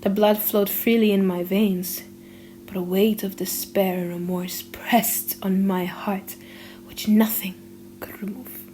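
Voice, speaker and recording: A woman narrating an audiobook in a theatrical, emotive style, with drawn-out pauses and hushed drops. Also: mid-range voice, flat delivery, slight background noise, poor audio. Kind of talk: dramatic audiobook reading.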